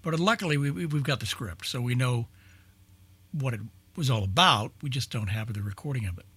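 Husky-voiced man in casual chat. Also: medium pitch, fairly quick, bright, forceful tone, dry recording. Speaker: old-time radio show participant discussing lost shows.

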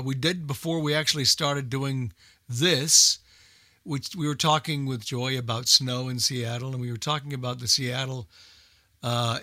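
A man's voice: medium pitch, bright, forceful tone, no room sound, clean studio sound. A man chatting casually in a group conversation on a radio talk show. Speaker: old-time radio show regular and guest.

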